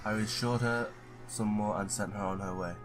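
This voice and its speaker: A man's voice: on the low side, steady tone, normal speed, music in the background, close to an everyday voice. A man reading the part of a wry, hard-boiled ex-cop detective in a noir visual novel. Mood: brooding narration.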